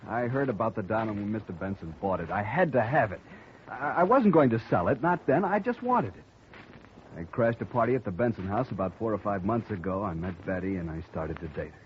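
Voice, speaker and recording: A man's voice: on the low side, expressive, fairly quick, close to an everyday voice. An actor playing a nervous male schemer in a 1950s radio drama, talking earnestly and pleading. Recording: dull old radio broadcast, faint hiss.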